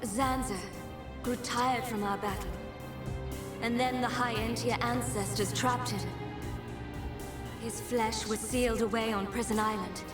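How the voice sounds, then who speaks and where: A woman's voice: a little high, steady tone, measured pace, pauses often, close to an everyday voice. A woman voicing an earnest, distressed heroine in a video game, grave and dramatic. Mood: grave, questioning. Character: earnest, distressed heroine confronting her enemies. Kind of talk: video game cutscene acting. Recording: echoing voice under loud music.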